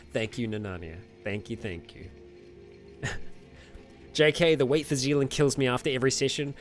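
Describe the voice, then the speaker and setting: A man's voice: medium pitch, normal speed, long pauses, soft background music. A man hosting a casual livestream and answering viewer comments, rambling a little with fillers, self-corrections and frequent laughs. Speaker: streamer host.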